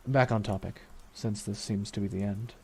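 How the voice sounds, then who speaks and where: A man playing a brooding, wistful villain in an intimate ASMR roleplay, speaking slowly and deliberately with long pauses and quiet menace. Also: lowish voice, fairly even intonation, vocal fry. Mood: reflective, somber. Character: villain reflecting beside his dying superhero nemesis, wistful, menacing. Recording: noticeable rain noise throughout. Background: rain falling on a surface.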